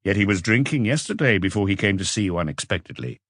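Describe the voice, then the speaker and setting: A man voicing a calm, probing police inspector in an audiobook, with measured, terse questioning. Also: lowish voice, dry recording, clean studio sound.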